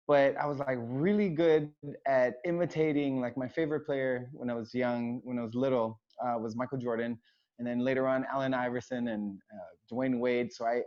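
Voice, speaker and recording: A man's voice: medium pitch, darkish tone. Man, dancer being interviewed, casual rambling talk, lots of fillers, muffled lo-fi audio. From United States, raised in Hawaii. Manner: rambling, many fillers and restarts. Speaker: choreographer and dancer, guest. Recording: dull lo-fi sound.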